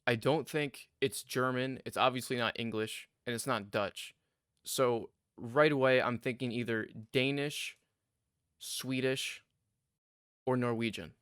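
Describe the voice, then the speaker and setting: A man's voice: medium pitch, fairly even intonation, a little slow, a bit bright, clean tone, no echo, studio quality. American man hosting a reaction video. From United States. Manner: chatty, analytical. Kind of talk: casual commentary on video clips.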